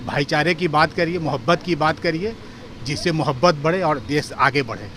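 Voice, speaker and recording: A man's voice: a little high, normal speed, pushed and bright. A man with a Bihari accent giving his opinion as a local resident in a street interview. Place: outdoor street. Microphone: handheld reporter microphone. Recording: noisy outdoor street with traffic.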